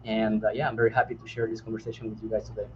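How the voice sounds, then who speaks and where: A man's voice: lowish voice, flat delivery. A man, a panel guest introducing himself politely with many hesitations over a computer mic on a video call. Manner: halting, many fillers, polite. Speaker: guest, CG artist. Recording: dull, muffled line with faint noise.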